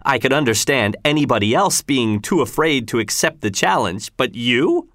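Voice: medium pitch, expressive, moderate pace, fairly bright tone, no echo, studio quality, close to an everyday voice. A narrator voicing a cruel male tyrant governor in an audiobook, mocking, commanding and falsely reasonable. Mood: stern, taunting.

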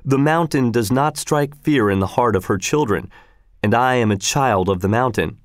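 A man's voice: medium pitch, moderate pace, no room sound, clean studio sound, close to an everyday voice. A man reading a story voices a bold, easygoing hunter and father who speaks casually and self-assuredly.

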